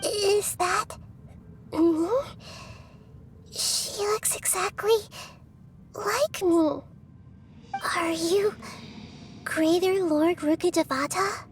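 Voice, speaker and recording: Little goddess girl: high, soft, slow and earnest, gentle and thoughtful, anime game dub. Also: a little hiss or hum, heavily acted. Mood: wondering. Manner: soft-spoken, measured, earnest.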